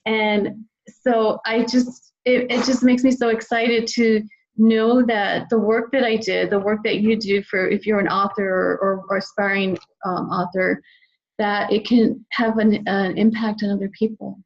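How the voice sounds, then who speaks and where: A woman's voice: mid-range voice, flat delivery, unhurried. Dull lo-fi recording of an enthusiastic woman. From United States. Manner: enthusiastic, talkative. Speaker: podcast guest, children's book author. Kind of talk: conversational interview.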